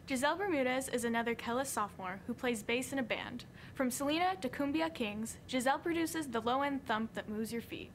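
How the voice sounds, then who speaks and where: A woman's voice: high-pitched, fairly quick, hardly any pauses, slight background noise. Woman reading student show narration. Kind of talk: scripted narration.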